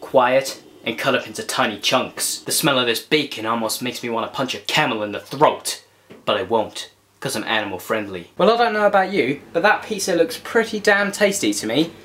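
A man's voice: medium pitch, lively intonation, brisk, a bit bright. A young man casually narrating a home cooking vlog in a playful, cheeky way. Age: young adult. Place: small home kitchen, indoors. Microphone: camera a short distance away. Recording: clear background noise, home camera microphone.

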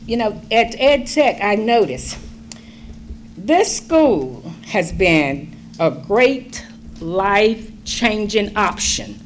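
Middle-aged woman, public forum speaker: slow, earnest, swooping emphasis, standing mic in a big room with faint hum. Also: medium pitch, very animated. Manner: earnest, pleading, with emphatic rising stresses. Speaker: public commenter. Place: large school meeting room. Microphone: standing mic at a distance.

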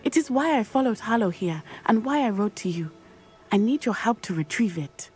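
A young woman voicing a brave, determined schoolgirl witch in a video game, speaking in a formal, measured way and often dropping to a hush. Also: mid-range voice, animated, soft background music, close to an everyday voice. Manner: measured, formal, confident speech, often hushed. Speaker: game character.

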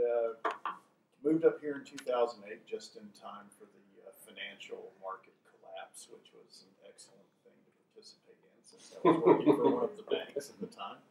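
A man's voice: medium pitch, darkish tone, slight background noise. A middle-aged man, introducing himself in a wry, chatty way. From South Alabama, US. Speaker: PhD student. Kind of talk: casual self-introduction. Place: conference room. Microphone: distant camera mic. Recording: roomy, distant mic.

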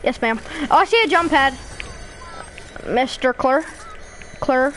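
Female gamer, high and lively, excitable, teasing, faint background hiss. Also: slow, rough recording. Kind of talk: casual gaming chat.

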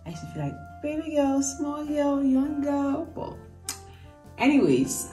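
A woman's voice: fairly low pitch, warm tone. A young adult woman with a Nigerian accent, chatting casually and expressively to her travel vlog on a phone. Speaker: vlogger. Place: hotel rooms, indoors. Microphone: phone at arm's length.

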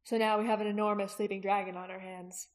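Woman voicing a calm, measured young man. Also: mid-range voice, lightly acted. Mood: wry, thoughtful. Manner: even, crooning, measured. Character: calm, steady young male dragon trainer, soothing.

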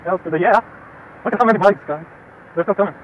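A man's voice: high voice, fast talker, muffled recording. A young man talking casually from his motorcycle while riding, laughing often and swearing freely. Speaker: motovlogger. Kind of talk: casual helmet-cam commentary. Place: on a motorcycle outdoors on the road. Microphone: helmet-mounted mic. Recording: faint road and engine noise.

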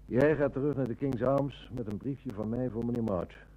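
An actor playing a shrewd, determined police inspector in a radio drama, matter-of-fact and commanding. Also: male voice, mid-range voice, moderate pace, warm tone, close to an everyday voice. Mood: brooding. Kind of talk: Dutch radio drama acting. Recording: noisy old radio recording with hum.

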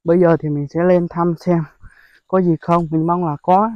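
A man's voice: on the high side, level tone, moderate pace, no room sound, lo-fi sound. A young man, chatting casually to viewers on an outdoor phone vlog, with lots of exclamations. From Vietnam. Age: young adult. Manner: chatty, addresses viewers as brothers, frequent exclamations. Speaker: vlogger. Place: outdoors among rice paddies and hills. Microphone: phone held at arm's length.

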